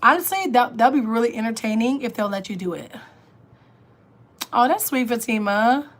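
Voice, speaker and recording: Raspy-voiced woman chatting on a livestream. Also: animated, moderate pace. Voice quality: lower alto voice. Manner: chatty, reads and answers comments aloud. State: raspy voice from illness. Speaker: livestream host. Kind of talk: casual livestream chat with viewers.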